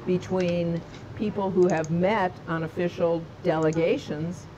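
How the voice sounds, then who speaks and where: A woman's voice: on the low side, steady tone, a little slow, muffled recording, noisy background. A woman committee leader speaking in a news interview, feeling for her words as she goes. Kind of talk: spoken interview soundbite.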